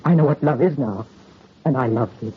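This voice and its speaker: A man's voice: mid-range voice, fairly quick, natural voice. A man playing an ardent young noble bridegroom in a 1930s radio drama, earnest and melodramatic. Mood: tender. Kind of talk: 1930s radio romantic acting. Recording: muffled old radio recording, faint noise.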